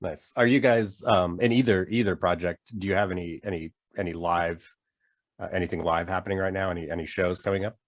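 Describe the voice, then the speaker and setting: A man's voice: sits low, fairly even intonation, fairly quick, dry recording, studio quality. A man hosting a video-call interview, upbeat and friendly, stuffed up from a cold. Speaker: podcast host. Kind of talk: casual interview chat.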